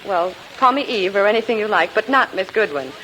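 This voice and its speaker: An American woman playing a gracious, tactful schoolteacher, kindly and gently playful, in a 1940s radio comedy. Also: medium pitch, animated, a little fast, lightly acted, rough recording. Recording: old radio recording, faint hiss.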